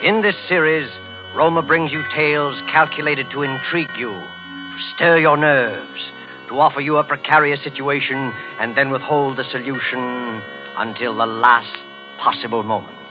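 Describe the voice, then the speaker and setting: A man playing an ominous, theatrical mystery host, announcing deliberately in 1940s radio style. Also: mid-range voice, phone-quality sound, natural voice. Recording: old radio recording with music.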